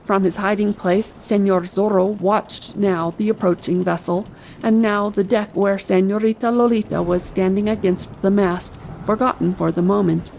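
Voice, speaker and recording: A woman's voice: mid-range voice, steady tone, normal speed, gravelly, low-quality recording. A woman reading an adventure novel as a dramatic, scripted audiobook narration. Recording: faint ambient noise behind. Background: soft sea ambience.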